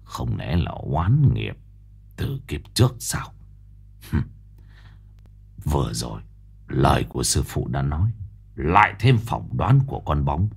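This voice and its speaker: A brusque, cursing investigator with a husky, very deep voice that swings widely in pitch, as a man puts him on. He growls his words, short-tempered and demanding in a spoken ghost story. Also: sing-song, measured pace, long pauses, exaggerated voice. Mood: brooding. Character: gruff middle-aged male investigator, impatient, cursing. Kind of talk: audiobook character voice.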